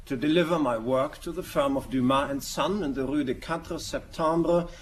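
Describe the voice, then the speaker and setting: A male actor plays an elegant, unruffled Danish gentleman under questioning in a radio drama. His voice sits a little high for a man, and he speaks formally in short, composed answers. Faint noise runs behind him. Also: normal speed, natural voice. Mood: composed, terse. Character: dignified, elegant Danish murder suspect, coolly composed.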